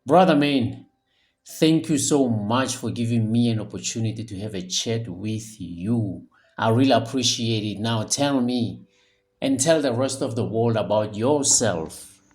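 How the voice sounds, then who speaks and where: A man's voice: mid-range voice, a little slow, a little mellow. A man hosting a podcast interview, introducing his guest and putting questions to him. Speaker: podcast host.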